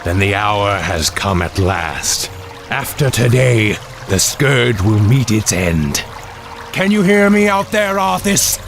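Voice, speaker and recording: An actor playing a vengeful half-demon elf lord who makes taunting, fervent declarations. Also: male voice, fairly low pitch, very animated, slow pace, vocal fry, full character voice. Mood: defiant. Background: orchestral music.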